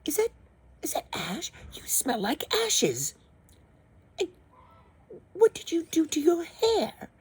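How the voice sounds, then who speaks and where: A woman voicing a fussy, snobbish young prince with a whiny, prissy, stammering manner and a very husky voice. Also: sits high, wildly swooping pitch, very slow, pauses often, warm tone, voice pushed up, heavily acted. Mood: disgusted.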